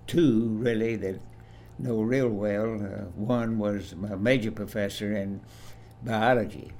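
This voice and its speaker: An elderly man speaks in a fairly low voice, recalling his life for an oral history interview. His delivery is halting, with repeated and restarted words. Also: steady tone, a little mellow, slight background noise. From Kentucky, USA. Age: 80s. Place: at his home.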